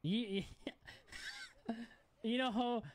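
A man's voice: very high voice, fairly even intonation, normal speed, slight background noise. A man joking and teasing through a game roleplay conversation.

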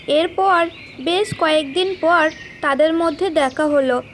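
A woman's voice: high voice, slow. A woman narrating a scripted children's story as the storyteller. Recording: clearly noisy, birdsong behind. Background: birdsong and nature sounds.

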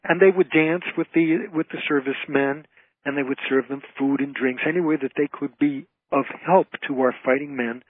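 A man's voice: medium pitch, fairly even intonation, normal speed, slightly rough, dry recording. A man speaking as an interview guest, an entertainer who makes his points emphatically in orderly phrases. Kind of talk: conversational radio interview. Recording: narrow, tinny phone line.

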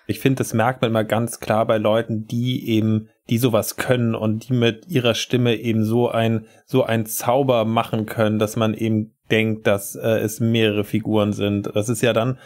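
A man's voice: fairly low pitch, flat delivery, moderate pace, hardly any pauses, a little mellow. A man co-hosting a podcast, explaining things in casual talk. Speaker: podcast co-host.

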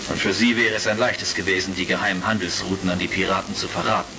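A man playing a calm, analytical space hero in a retro sci-fi audio drama, speaking in a measured way. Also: low-pitched, vocal fry, a crowd in the background. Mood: determined. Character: heroic space commander, calm, analytical investigator. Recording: crackly vintage vinyl-style recording, distant.

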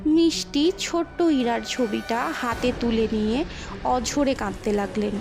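A woman narrating a scripted ghost story in an expressive, dramatic storytelling manner. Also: fairly high pitch, a little slow, vocal fry, over music.

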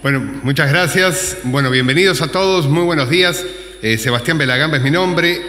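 Male moderator, bright fairly high voice, podium welcome. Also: a little fast. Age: middle-aged. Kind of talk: welcome speech at a conference. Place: conference stage at a podium. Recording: echoey, reverberant hall.

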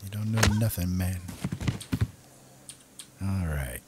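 A man's voice: deep voice, expressive, slow pace, very noisy. A man hosting a gaming video with casual, playful, chatty commentary, often talking to himself.

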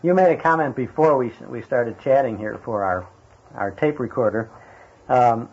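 A man's voice: medium pitch, moderate pace, slightly rough. A man interviewing guests conversationally, with hesitant, roundabout phrasing. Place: in a guest's home. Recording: dull lo-fi tape recording.

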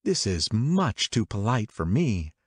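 A man's voice: on the low side, very animated, takes it slowly, breathy edge, no echo, exaggerated voice. A man reading the lines of a cool, offhand young woman at a party, close to his own voice with a slight lift.

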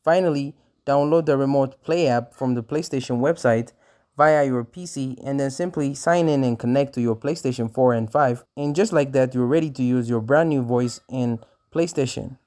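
Man, tutorial narrator, mid-pitched, mellow tone, scripted voice-over, step-by-step instructional delivery. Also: moderate pace.

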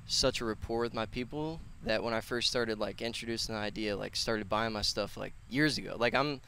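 A man's voice: on the low side, brisk, gravelly, bright, forceful tone, slight background noise. A man in his twenties talking casually and earnestly as an interview guest, rambling and slangy, on a headset mic outdoors. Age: 20s. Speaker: podcast guest, personal trainer and gym owner. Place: outdoors on a concrete patio.

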